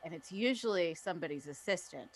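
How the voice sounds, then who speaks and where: A woman playing an earnest, excitable magician turned sleuth in improvised comedy, stumbling over her words. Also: fairly low pitch, fast. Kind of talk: improvised comedy character acting.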